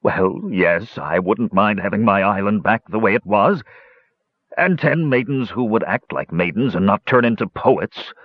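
Guy doing a whiny pleading dragon for a fantasy audiobook, husky, kinda muffled recording. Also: on the low side, measured pace, no echo. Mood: whimpering. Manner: whining, pleading.

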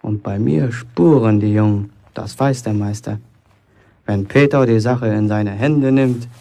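An actor playing a boastful, self-important teenage apprentice bricklayer from a village, chatting colloquially in a radio play monologue. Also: male voice, lowish voice, unhurried, mellow. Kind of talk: German radio play acting, first-person monologue.

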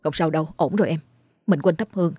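A woman voicing an exhausted young father who talks in a hurried, urgent way to reassure his wife. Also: low voice, mellow, husky, no echo, clean studio sound.

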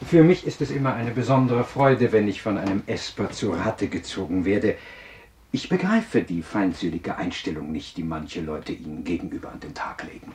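A man playing a self-assured psychiatrist in a radio drama, explaining in a measured, courteous, husky lecture. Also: low-pitched, expressive, darkish tone, heavily acted. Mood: assured, explanatory. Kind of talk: German radio drama acting.

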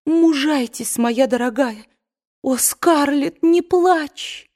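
A woman reading an audiobook voices a gentle, kind young woman who speaks soft words of comfort. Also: sits high, slow, pitched above the performer's own voice. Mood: consoling. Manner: soft, soothing, sweet.

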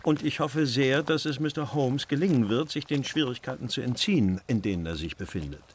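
An actor playing a polite, formal married gentleman in a radio drama. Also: medium pitch, animated, brisk, slightly rough. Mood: formal politeness. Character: polite, formal gentleman husband, somewhat puzzled.

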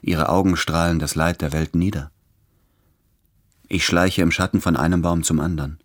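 An actor playing an anguished, self-doubting man in his late thirties, speaking in measured, introspective literary monologue in a radio drama. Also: deep voice, steady tone.

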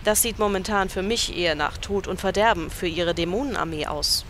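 Actress as a deadpan reporter, brisk and matter-of-fact. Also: female voice, mid-range voice, slight background noise, close to an everyday voice. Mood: dry. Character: dry, unimpressed female news reporter. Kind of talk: parody audio drama.

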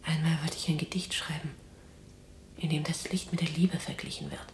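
An actress playing a weary but defiant, tender imprisoned resistance fighter in a German radio drama, speaking in a breathy, halting, intimate hush. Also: female voice, low-pitched, level tone, roomy sound. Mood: tender, wistful. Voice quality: breathy whispering. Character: exhausted, defiant, tender imprisoned resistance woman in wartime Netherlands.

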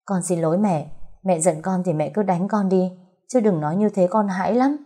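A woman's voice: on the low side, normal speed, mellow, slightly rough. A woman voicing a meek, guilt-ridden young daughter, pleading and apologetic, in an audio story. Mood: meek, anxious.

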